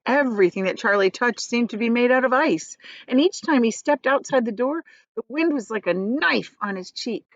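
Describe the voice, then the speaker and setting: A woman reading a children's novel aloud as a teacher would, in expressive storybook narration. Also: a little high, moderate pace, no room sound, lo-fi sound.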